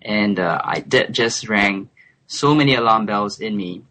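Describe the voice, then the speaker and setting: A man in his twenties from Singapore, a guest on a remote call, speaking thoughtfully with soft laughs and hesitant fillers. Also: lowish voice, measured pace. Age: 20s. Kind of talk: relaxed interview chat.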